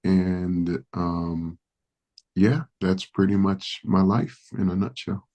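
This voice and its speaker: A man's voice: sits low, little pitch movement, slow pace, warm tone. A man from the Dominican Republic whose first language is Spanish, a pastor speaking as a guest in a podcast interview, earnest and reflective with some hesitations.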